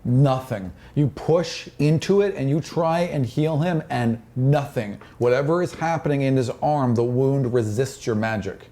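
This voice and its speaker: A man's voice: mid-range voice, measured pace, few pauses, dark tone. A man working as game master, narrating a fantasy tabletop game in a casual, improvised way with vivid sound words. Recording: music playing behind the voice. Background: background music.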